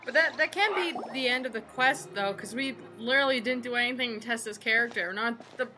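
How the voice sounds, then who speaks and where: A woman's voice: a little high, a little fast, background noise. A woman streamer chatting casually over video game play. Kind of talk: casual chat over gameplay. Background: game music.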